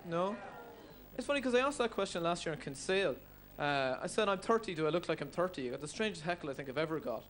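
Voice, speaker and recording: A man's voice: a little high, expressive, fast, a bit bright, faint music behind. A man of thirty with a Cork Irish accent telling stand-up stories in a hesitant, self-deprecating way. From Cork, Ireland. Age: 30s. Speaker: stand-up comedian. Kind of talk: stand-up storytelling. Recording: noisy live stage recording.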